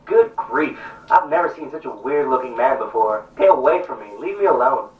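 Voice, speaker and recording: A man's voice: medium pitch, animated, normal speed, natural voice. A tinny, far-off recording of a man acting a scared, hostile local man in a puppet play, echoing in the room. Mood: alarmed, repelled. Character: frightened, hostile local townsman. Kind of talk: stage puppet show acting. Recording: tinny, distant, echoey stage recording.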